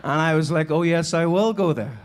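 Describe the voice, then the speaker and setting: A man's voice: fairly high pitch, fairly quick. Northern Irish man telling stories on stage. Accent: Northern Irish, Belfast area. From Northern Ireland. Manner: informal, conversational, anecdotal. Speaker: keynote speaker and musician. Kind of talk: live keynote storytelling. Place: on stage before a live audience.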